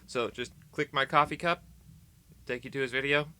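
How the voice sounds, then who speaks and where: A man's voice: medium pitch, fairly even intonation, normal speed, bright, forceful tone, clear, resonant tone. An American man vlogging in casual, rambling chat to camera, playful and tongue-in-cheek with dramatic emphasis.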